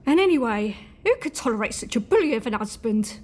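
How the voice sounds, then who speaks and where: A woman playing a brisk, shrewd servant in an audio drama, frank, probing and teasing. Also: fairly high pitch, sing-song, darkish tone.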